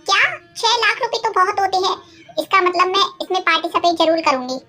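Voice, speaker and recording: A woman voicing a greedy, scheming older mother-in-law in a cartoon moral story, bossy, insistent and quick to take offence. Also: extremely high pitch, pushed and bright, soft background music. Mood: eager.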